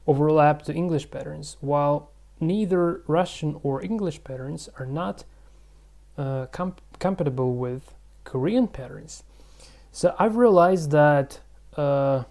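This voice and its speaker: Male vlog host, gravelly mellow voice, casual rambling delivery full of fillers. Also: fairly high pitch. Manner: rambling, thinking aloud with many fillers. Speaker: channel host.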